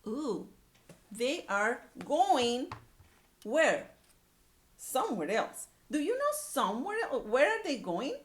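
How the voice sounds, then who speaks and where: A woman's voice: sits high, very animated, slow, pauses often. A woman teacher reading aloud to young children, using simple words, repetition and questions. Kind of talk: animated read-aloud lesson.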